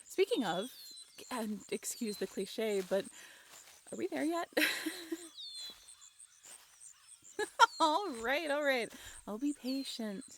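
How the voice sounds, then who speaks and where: A woman's voice: sits high, a little hiss or hum. A young woman playing an affectionate, teasing girlfriend in a conversational romantic roleplay audio, bantering in a playful drawl. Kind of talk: romantic comfort roleplay audio, conversational one-sided dialogue.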